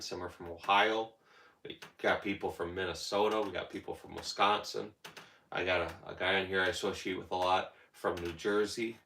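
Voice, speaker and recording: Deep-voiced man chatting on a livestream. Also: vocal fry, a bit bright, reverberant, a little hiss or hum. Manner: rambling, talks back to chat comments. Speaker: livestream host. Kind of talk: casual livestream chat with viewers.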